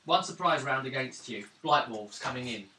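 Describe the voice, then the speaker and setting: Male game master, crisp forceful edge, informal table talk, roomy distant pickup with faint noise. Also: medium pitch, animated, normal speed. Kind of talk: casual running of a tabletop game. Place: room, recorded around a gaming table.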